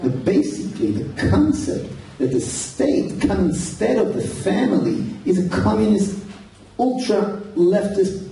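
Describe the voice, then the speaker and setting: An Israeli political leader speaks earnestly to an audience, often stumbling and repeating words. His voice is very dark and mellow. It is recorded from a distance in a reverberant hall with faint background noise. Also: sits high, sing-song, measured pace, gravelly. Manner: earnest, repeats words when searching for them. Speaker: party leader speaking to a crowd. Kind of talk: public speech. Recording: echoey hall, heard from far off.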